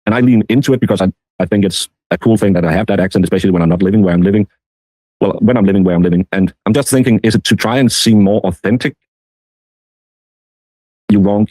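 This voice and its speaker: A man giving casual, rambling commentary in a halting, meandering way. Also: fairly low pitch, steady tone, dark tone, no room sound, rough recording. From Denmark. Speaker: streamer.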